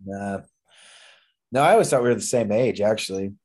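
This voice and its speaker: A man's voice: fairly low pitch, fairly quick, a little mellow. A man in his thirties hosting a casual wrestling podcast, ranting in an opinionated, profane way. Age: 30s. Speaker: podcast host.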